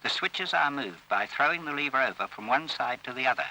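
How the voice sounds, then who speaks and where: A man reading scripted instructional narration for an old training film, in a formal, measured and instructive manner. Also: medium pitch, animated, bright, forceful tone. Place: voice-over recorded for film. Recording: old scratchy railway training film soundtrack.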